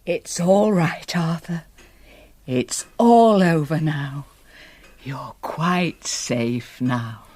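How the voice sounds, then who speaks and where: A woman's voice: sits low, darkish tone, heavily acted. A woman, acting a tender, concerned wife of a troubled old man in a radio drama, against some noise. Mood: soothing, hushed. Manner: tender, unhurried, emotive.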